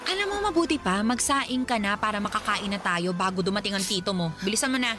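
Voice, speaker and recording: An actress playing a cruel, manipulative Filipino mother in a radio drama, sharp, sarcastic and dismissive as she scolds. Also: medium pitch, animated, fast, close to an everyday voice. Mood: mocking. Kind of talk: Tagalog radio drama acting. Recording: music under the voice.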